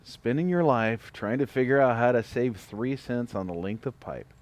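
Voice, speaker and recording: Radio drama father: actor as tired, patient older small-town man, gentle, halting, wide swings of pitch. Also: animated, natural voice. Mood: resigned, hesitant.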